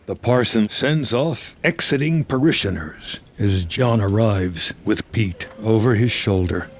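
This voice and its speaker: A man narrating a screenplay in the present tense with measured, dramatic storytelling. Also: on the low side, expressive.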